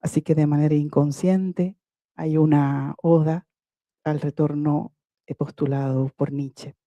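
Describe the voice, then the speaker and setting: Woman poet, reading a prepared talk, measured and lyrical, very dark mellow tone, dry close sound. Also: sits low, steady tone, no echo, muffled recording. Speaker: poet presenting her book.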